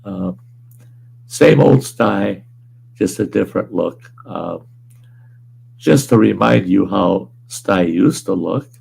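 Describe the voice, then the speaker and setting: Hoarse man, slow and very gravelly, laid-back rambling streamer host who chuckles and swears casually. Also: deep voice, lively intonation, a little mellow, low-quality recording. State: hoarse, weakened voice. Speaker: livestream host. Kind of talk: casual livestream chat reading viewer comments. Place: at home.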